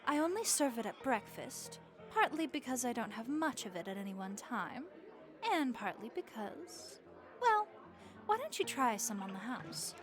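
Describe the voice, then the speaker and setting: A woman's voice: on the high side, very animated, normal speed, music in the background. A woman playing a friendly, worldly vampire innkeeper in a comforting audio roleplay, chatty and encouraging with a wry, folksy way of talking. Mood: hospitable, playful.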